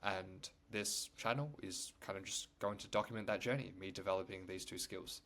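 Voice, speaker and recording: Young male YouTuber, native Chinese speaker, lowish voice, loose rambling delivery. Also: fairly even intonation, moderate pace, fairly bright tone. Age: young adult. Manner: rambling, full of "like" and "you know". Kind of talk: casual talk to camera.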